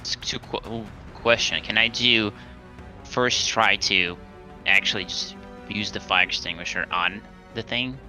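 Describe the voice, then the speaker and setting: Low voice guy at a tabletop game, super bright tone, kinda stumbles along, music behind. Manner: halting, hesitant. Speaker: player. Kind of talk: casual game chat.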